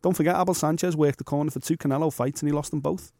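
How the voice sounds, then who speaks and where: A man's voice: a little high, fairly even intonation, rapid-fire, a little mellow, dry recording, clean studio sound. A man co-hosting a boxing podcast in casual chat, blunt and jokey, with slang like "mate".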